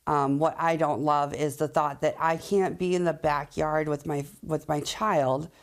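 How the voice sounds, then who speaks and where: A woman's voice: low voice, steady tone, measured pace. A woman speaking candidly as an interviewed local resident, emphatic and plain-spoken.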